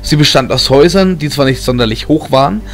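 A man's voice: medium pitch, lively intonation, fast talker. A man reading scripted fantasy narration as an audiobook narrator. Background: background film music.

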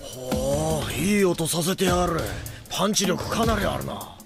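An actor playing a cocky young male boxer commenting from the sidelines in rough, slangy speech in an anime. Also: on the high side, animated, moderate pace. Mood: sardonic. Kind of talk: anime dub acting. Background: background music.

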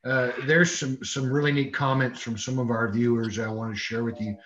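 A man's voice: mid-range voice, level tone, normal speed, fairly bright tone. An older man moderating a panel on a video call, friendly and folksy. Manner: friendly, folksy, uses y'all. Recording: dull lo-fi video call audio.

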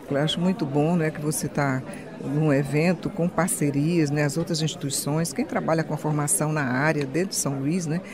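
A woman with a Brazilian accent, a university rector, giving a spontaneous interview answer peppered with tag questions. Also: deep voice. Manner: frequent "né" tags. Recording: crowd chatter behind interview.

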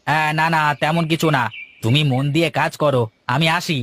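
A man's voice: on the high side, steady tone, a little fast, fairly bright tone. A man voicing a jealous, gossiping villager in a cartoon fairytale, mocking and conspiratorial. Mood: sly, insinuating. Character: jealous, gossiping male villager, sly troublemaker. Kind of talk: animated moral fairytale dialogue. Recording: music behind the voice.

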